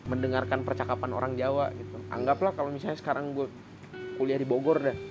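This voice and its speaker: A man's voice: mid-range voice, fast talker, music in the background. A young man from Papua co-hosting a casual podcast, talking in slangy street speech. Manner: slangy, animated when mimicking accents. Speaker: podcast co-host. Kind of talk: casual chat.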